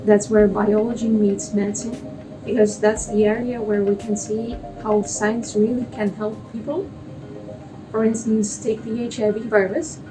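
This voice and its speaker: A woman's voice: mid-range voice, flat delivery, normal speed, far from the mic, music in the background, low-quality recording. A young woman from Russia, a native Russian speaker, answering as an interview guest and explaining research in plain terms. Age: young adult.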